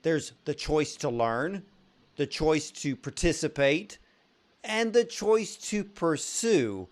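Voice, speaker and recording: A man's voice: on the high side, animated, slow. A man interviewing a filmmaker, earnest and enthusiastic as he asks his questions.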